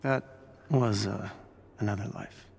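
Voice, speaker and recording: A man's voice: on the low side, steady tone, a little slow, creaky, breathy edge, heavily acted. A man playing a guarded, wry middle-aged scientist and former hero in a video game, with reserved delivery. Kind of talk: naturalistic video game acting.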